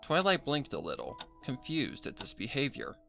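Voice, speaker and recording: An amateur narrator reading scripted fiction with expressive, measured storytelling. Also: medium pitch, vocal fry, soft background music.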